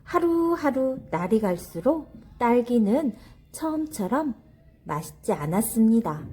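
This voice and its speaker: A woman's voice: fairly high pitch, dark tone, breathy edge. A woman narrating a children's story expressively, in a careful, teacherly way.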